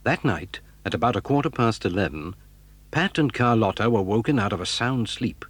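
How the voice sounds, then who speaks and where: A man's voice: lowish voice, expressive, normal speed, breathy edge, no echo. A man narrating a children's adventure drama as a dramatic, scripted storyteller. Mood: suspenseful.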